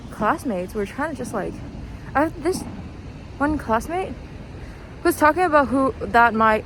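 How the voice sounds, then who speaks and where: A young woman chatting casually as a vlogger while out walking, with hesitant, meandering thoughts. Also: fairly high pitch. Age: young adult. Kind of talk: casual rambling walking vlog. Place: outdoors, walking. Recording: noisy outdoor street, traffic ambience. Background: street and vehicle noise.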